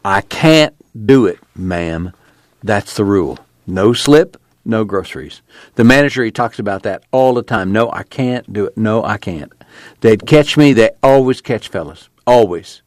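A man's voice: fairly low pitch, animated, measured pace, a little mellow, no echo, clean studio sound. A man voicing a nervous, defensive small-time store clerk with a drawl. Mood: anxious. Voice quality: jittery, pleading.

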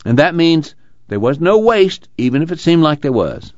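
A man voicing an earnest, excitable but weary labor organizer in an audiobook, pleading in a folksy dialect. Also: fairly high pitch, moderate pace, dry recording, highs cut off, voice pushed up. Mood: weary, reflective. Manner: rural uneducated American dialect, pleading and preaching.

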